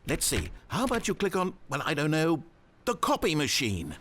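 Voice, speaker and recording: Pompous game narrator, swooping pitch. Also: male voice, huge pitch swings, moderate pace, fairly bright tone, a little hiss or hum. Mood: encouraged, coaxing. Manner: pompous, theatrical, wordy. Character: pompous, fussy male narrator goading the player. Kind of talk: scripted video game narration.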